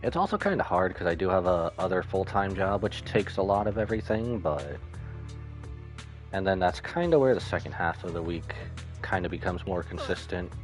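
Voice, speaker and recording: Male game streamer, low but animated, rambling casual chat over faint music. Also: moderate pace. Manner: rambling, thinking aloud. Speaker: live streamer. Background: video game music and dialogue.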